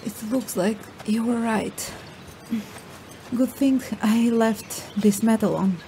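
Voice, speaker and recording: A woman's voice: fairly high pitch, takes it slowly, mellow, a little hiss or hum, poor audio. An actress playing a brave, fond middle-aged warrior mother in a fantasy audio drama. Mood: weak, fond.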